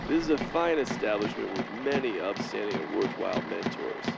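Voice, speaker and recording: An actor voicing a suave, grown-up billionaire uncle in a comedic cartoon, measured and reassuring but a bit condescending. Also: male voice, fairly low pitch, lively intonation, gravelly, loud music behind the voice, low-quality recording. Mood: patient, reassuring.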